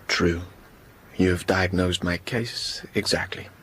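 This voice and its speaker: An actor playing an earnest, lovesick young gentleman doctor in a radio drama, ardent and refined in manner. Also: low voice, fairly even intonation, slow, husky, heavily acted.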